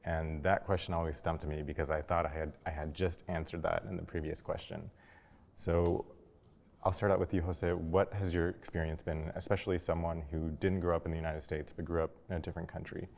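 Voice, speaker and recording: A man's voice: deep voice, flat delivery, brisk, gravelly, a little mellow. A man hosting a discussion, welcoming his guests in a friendly way and asking them questions. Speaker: host.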